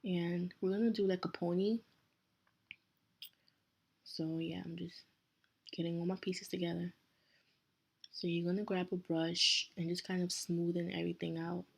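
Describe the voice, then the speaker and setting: A young woman with a Nigerian accent talks through a hair tutorial in an easy, chatty way, stopping now and then to correct herself. Her voice sits fairly low and stays level, with little rise and fall. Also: unhurried, vocal fry, pauses often, breathy edge. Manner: relaxed, self-correcting, chatty asides. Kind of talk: casual step-by-step tutorial.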